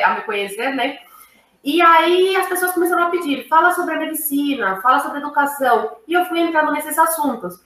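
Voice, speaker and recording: A woman's voice: sits high, a bit bright, roomy sound, low-quality recording. A woman from Cuba, speaking Portuguese as an interview guest in an emphatic, casual way, often adding "né" and "sabe". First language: Spanish. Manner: emphatic, frequent "né" and "sabe" tags.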